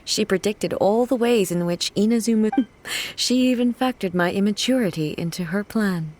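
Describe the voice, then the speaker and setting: An actress playing a solemn, resolute female ruler deity in a video game, speaking formally, earnestly and in measured phrases. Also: mid-range voice, a little mellow, a little hiss or hum, lightly acted. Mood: reflective, grateful. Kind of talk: scripted video game dialogue acting. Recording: game music behind the voice.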